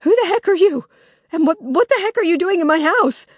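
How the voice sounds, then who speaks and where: A woman voicing a terrified woman under attack, pleading in a high, frantic voice. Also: little pitch movement, normal speed, gravelly, mellow, no echo, clean studio sound. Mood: frightened.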